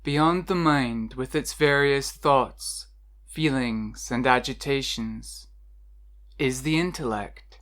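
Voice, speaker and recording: A meditation guide narrating a scripted guided meditation in a measured, instructional way with deliberate pauses. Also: medium pitch, fairly bright tone.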